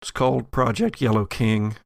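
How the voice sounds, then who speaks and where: A man playing gravelly ex-FBI occult scholar. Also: medium pitch, steady tone, normal speed, a little hiss or hum, natural voice. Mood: dry, defensive. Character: male ex-FBI occult scholar, offhand and knowing.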